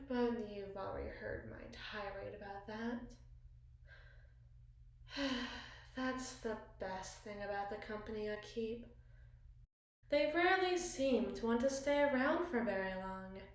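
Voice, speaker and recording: A woman's voice: a little high, close to an everyday voice. Echoey, brooding evil queen, acted by a woman. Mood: coaxing, wry, then wistful. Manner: theatrical, formal, wistful, with deliberate pauses. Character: battle-scarred, self-deprecating, commanding evil queen, intimate and brooding. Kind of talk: ASMR roleplay, slow dramatic monologue. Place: small bathroom, indoors. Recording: echoey tiled bathroom.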